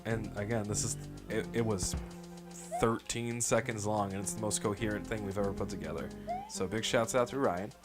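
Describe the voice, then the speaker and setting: A low-voiced man co-hosting a gaming podcast, rambling through his points with plenty of swearing and laughter. His voice sits deep, and music plays behind him. His delivery is loose and offhand. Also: steady tone, normal speed. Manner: rambling and profane, laughs often. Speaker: podcast co-host playing a video game. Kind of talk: casual gaming chat.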